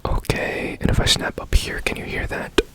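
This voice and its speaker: A man whispering in a breathy, deliberate way up close to the mic, in an ASMR roleplay as a mysterious repairman. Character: strange secretive man repairing a broken robot. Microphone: close ASMR microphone.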